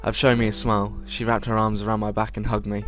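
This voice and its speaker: A man hosting a let's play, reading the game's script aloud haltingly with occasional asides. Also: on the low side, completely flat delivery. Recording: game music under the voice. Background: music.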